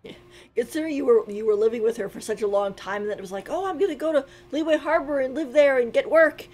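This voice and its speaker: A woman's voice: high voice, fast talker, darkish tone. A woman streamer giving casual commentary while playing a game, giggly and playful, mimicking the characters.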